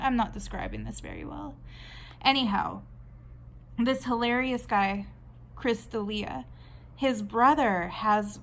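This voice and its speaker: A Canadian woman talking casually while she draws, rambling and chatty with frequent restarts. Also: fairly high pitch. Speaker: artist vlogger. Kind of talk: casual chat while drawing.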